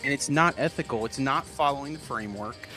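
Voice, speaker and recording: A man of medium pitch offers mock-serious commentary on a comedy show. His delivery is deadpan and sanctimonious, as if lecturing everyone. Soft music sits behind the voice. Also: brisk, low-quality recording. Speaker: co-host.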